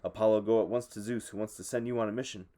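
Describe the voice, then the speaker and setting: A man voicing a distraught queen goddess giving hurried, anxious orders. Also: fairly low pitch, fairly even intonation, mellow, natural voice.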